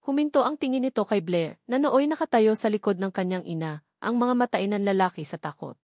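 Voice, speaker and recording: A woman narrates a dramatic romance story in a medium-pitched voice. The delivery is scripted storytelling, and the recording is dry with no room sound. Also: lively intonation, brisk, darkish tone, no echo.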